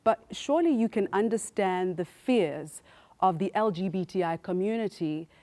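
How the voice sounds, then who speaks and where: A woman hosting a televised studio debate, introducing guests and putting questions to them in a brisk way. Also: medium pitch, animated, warm tone. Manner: brisk, leads applause. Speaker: debate host. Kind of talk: TV studio moderating.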